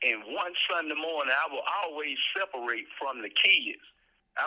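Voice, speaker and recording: A man's voice: medium pitch, pushed and bright, low-quality recording. A man from the Memphis area, telling his personal testimony as a guest, earnestly and with frequent repeated words as he gathers his thoughts. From Memphis area, southern United States.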